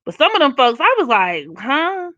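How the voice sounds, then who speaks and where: A young woman with a naturally raspy voice talks loosely to her live stream viewers, blunt and profane, often laughing. Her tone is bright and forceful. The audio is dry with no room sound and slightly dull, like a lo-fi home stream. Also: high-pitched, very animated, moderate pace, dry recording. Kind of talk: casual live stream chat reading comments.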